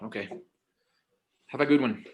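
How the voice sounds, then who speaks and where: A man's voice: mid-range voice, moderate pace, dull audio, lightly acted. A man acting a casual, hesitant adult son in a conversational screenplay table read. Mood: hesitant, placating.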